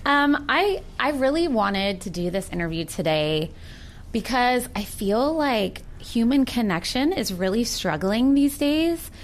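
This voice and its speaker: A woman's voice: a little high, sing-song, a little slow, a little hiss or hum. An American woman in her forties talking earnestly and reflectively in a sincere personal conversation. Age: 40s. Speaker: participant in a recorded one-on-one conversation.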